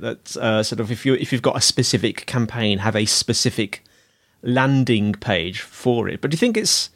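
A man hosting a podcast interview, asking friendly, rambling questions with many fillers. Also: mid-range voice. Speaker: podcast host.

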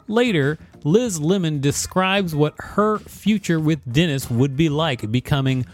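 A man's voice: a little high, a little slow, faint music behind. A man co-hosting a podcast, reading an encyclopedia article aloud. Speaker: podcast co-host.

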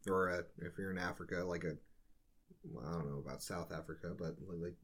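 Male podcast co-host, fairly low voice, informal and tentative, working out ideas as he speaks. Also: steady tone, brisk, breathy edge. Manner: tentative, thinking aloud. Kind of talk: casual chat.

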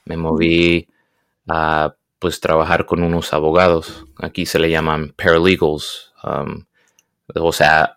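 A young man raised in the United States, speaking casually and often pausing to search for words. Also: low voice, clean tone, no echo, studio quality. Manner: hesitant, searches for words, mixes in English. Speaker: guest. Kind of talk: casual conversation.

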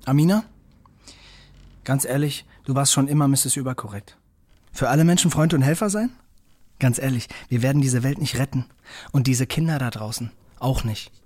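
Husky, defensive young policeman played by an actor. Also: medium pitch, moderate pace, pauses often, a little mellow, natural voice. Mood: defensive, bitter. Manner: curt, dismissive, self-righteous. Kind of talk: German audio drama acting.

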